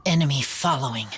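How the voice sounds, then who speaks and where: A woman voicing an earnest feral boy for a video game, speaking in halting, terse broken phrases. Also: a bass voice. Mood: guarded.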